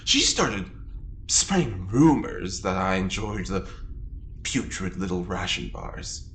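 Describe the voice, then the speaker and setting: Wry older prisoner, audio drama. Very deep voice, slow theatrical drawl, swooping and teasing. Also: female voice, very animated, a little hiss or hum, full character voice. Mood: mock indignant. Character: charming, wry older prisoner, theatrical self-styled rebel.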